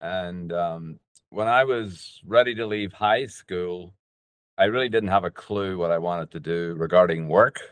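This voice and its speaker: An older man from with a low voice. He tells his own story as an interview guest, pausing often and taking his time. The sound is dry, with hardly any room echo. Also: fairly bright tone, smooth. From Northern Ireland. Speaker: podcast guest, business coach.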